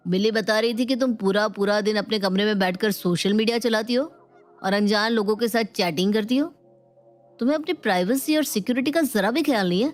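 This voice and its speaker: A woman's voice: mid-range voice, a little fast, lightly acted. A woman voicing a strict, fed-up husband in a family storybook, lecturing and giving stern warnings. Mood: stern, exasperated. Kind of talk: dramatised Hindi family storybook. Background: music.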